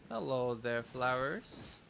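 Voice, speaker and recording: A man's voice: mid-range voice, very animated, slow pace, creaky, fairly bright tone. A man doing casual livestream gameplay chat, thinking aloud with playful, childish wording. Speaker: game streamer. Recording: faint background noise.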